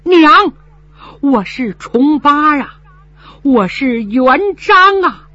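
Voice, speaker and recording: A woman's voice: mid-range voice, wildly swooping pitch, a little slow, husky, no room sound, lo-fi sound, heavily acted. A woman voicing a devoted, hot-tempered young son in a spoken story, talking earnestly and defiantly.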